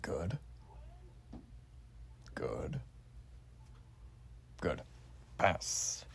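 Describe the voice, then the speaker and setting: A man playing a zealous, courteous revolutionary war soldier in an ASMR roleplay exam, speaking softly and breathily with formal, old-fashioned phrasing. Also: deep voice, huge pitch swings, drawn-out words, a little hiss or hum, heavily acted. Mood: attentive, courteous. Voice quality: soft, breathy, often near whisper. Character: zealous, folksy revolutionary war soldier examining a new recruit.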